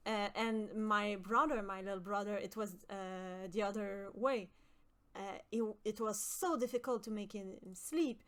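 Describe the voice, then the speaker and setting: A young woman from with a high voice, chatting with her stream viewers and often pausing with fillers. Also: a bit bright. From Quebec. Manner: hesitant, many fillers and restarts. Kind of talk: casual chat with stream viewers.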